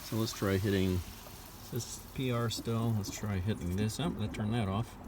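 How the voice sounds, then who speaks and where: A man's voice: on the low side, moderate pace. A man thinking aloud in a tentative, offhand way as he sets up a hot tub outdoors. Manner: mutters to himself, tentative and offhand. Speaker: DIY video host. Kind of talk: thinking aloud while setting up equipment. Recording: distant mic, loud steady hum.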